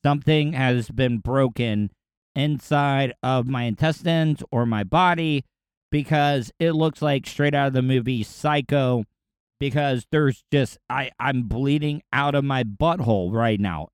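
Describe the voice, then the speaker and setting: A man hosting his own podcast, ranting in a casual, crude, animated monologue. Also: medium pitch, a little slow, clean tone, dry recording, clean studio sound. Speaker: podcast host.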